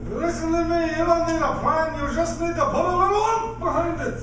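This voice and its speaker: A woman playing a cranky, scornful old salesperson in a comic radio sketch, gruff and lecturing, in an echoey hall. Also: very high-pitched, brisk, music in the background. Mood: scornful, blustery. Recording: echoey noisy hall, far from mic.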